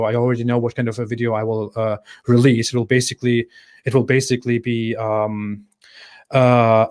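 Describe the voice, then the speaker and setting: A man hosting a casual livestream Q&A, thinking aloud in a halting way as he reads out viewer comments and answers them. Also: mid-range voice. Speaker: channel host answering live chat.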